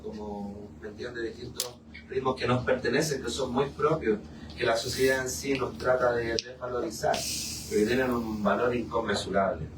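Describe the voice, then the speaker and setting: A man's voice: medium pitch, steady tone, normal speed. A man speaking reflectively in a group discussion, repeating phrases for emphasis as he leads the talk. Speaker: musician leading a discussion. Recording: echoey room, distant mic, clear noise.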